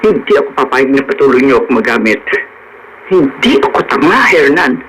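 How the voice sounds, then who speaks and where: An actor voicing an aggrieved accomplice in a radio drama, emphatic and dramatic, with a Filipino accent. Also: male voice, medium pitch, sing-song, brisk, a bit bright. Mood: bitter, demanding. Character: aggrieved accomplice demanding a share, then confessing. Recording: noisy radio drama broadcast.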